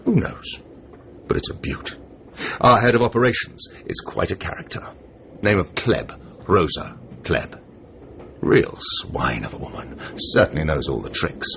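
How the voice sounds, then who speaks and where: An actor playing a smug Soviet assassin who poses as a chummy English gentleman, taunting in clipped, mock-genteel slang in a radio drama. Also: sits low, very animated, measured pace, gravelly, full character voice. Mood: coolly menacing. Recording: noisy, muffled radio broadcast recording.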